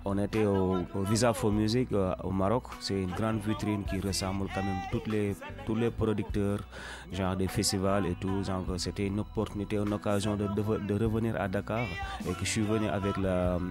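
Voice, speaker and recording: Deep-voiced guy musician from senegal, laid back rambly talk, music playing loud behind him. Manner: relaxed, rambling with fillers. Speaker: musician guest. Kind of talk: casual interview talk. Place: radio studio.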